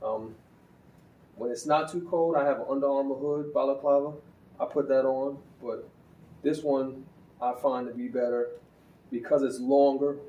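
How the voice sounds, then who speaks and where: A man chats through a riding gear review in an unhurried, conversational way, stumbling and restarting now and then. His voice is mellow and relaxed. It sounds a bit distant and roomy, with faint ambience underneath. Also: mid-range voice, slight background noise. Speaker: motorcycle vlogger.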